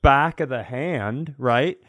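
A man's voice: mid-range voice, slow pace, fairly bright tone, no room sound, clean studio sound. A man in casual podcast chat, telling personal stories in a frank, conversational, comic way. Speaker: podcast co-host and comedian.

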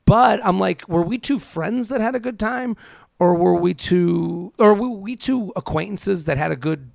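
Man in his thirties, gravelly, higher-pitched voice. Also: moderate pace, no echo. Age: 30s. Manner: animated quoting of imagined speakers, rhetorical questions. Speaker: podcast co-host. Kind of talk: casual advice chat.

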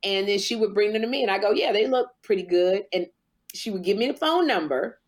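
A woman talking casually among friends, spinning a long story in unhurried, drawn-out phrases. Her pitch sits in the middle of the range. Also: lively intonation. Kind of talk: casual group chat.

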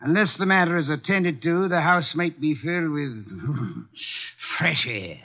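An actor playing an imperious, irritable older detective in a 1950s radio drama, with pompous, precise and disdainful diction. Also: male voice, on the high side, animated, a little slow. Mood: peevish. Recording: dull lo-fi vintage radio recording.

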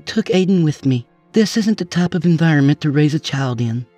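Guy doing a fed up scolding wife for an audiobook, pitched way up, pretty lively. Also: brisk, no echo, faint music behind. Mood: cold, resentful. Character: stern, disapproving young mother fed up with her husband.

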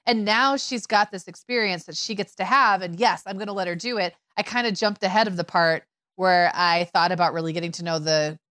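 Chatty mom telling stories, dry mic. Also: female voice, on the low side, a little fast, few pauses, dry recording. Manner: chatty, animated storytelling with fillers. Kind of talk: casual conversation.

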